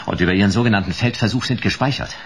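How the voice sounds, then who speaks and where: An actor playing a hard-boiled, wry private detective in a radio crime drama, laconic and dry. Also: male voice, fairly low pitch, rapid-fire, natural voice. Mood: defiant, dry. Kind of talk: 1980s German radio crime drama acting with first-person noir narration.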